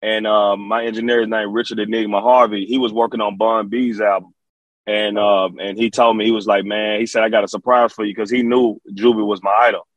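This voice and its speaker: Male rapper guest, Memphis Southern accent, lowish voice, dry close sound, casual storytelling full of slang and repeated phrases. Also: little pitch movement, brisk, dry recording, dull audio. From Memphis, Tennessee. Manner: repetitive, slang-peppered, frequent "you know".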